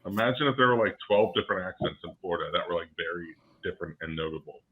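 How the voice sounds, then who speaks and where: A man co-hosting a casual podcast, blunt and quick to swear. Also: sits low, steady tone, phone-quality sound. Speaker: podcast co-host. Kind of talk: casual chat.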